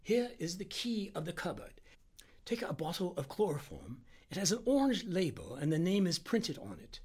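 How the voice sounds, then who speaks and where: A man voicing an anxious, precise little Indian doctor in an audiobook, speaking in an urgent and deliberate way. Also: high-pitched, wildly swooping pitch, darkish tone, voice pushed up, exaggerated voice. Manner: urgent, halting, deliberate, breathy at times. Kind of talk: audiobook character dialogue.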